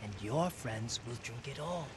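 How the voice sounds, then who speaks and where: An actor playing a sly, eager sake merchant in a video game, talking quick and wheedling. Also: male voice, medium pitch, expressive, noisy background, natural voice. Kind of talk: video game cutscene acting.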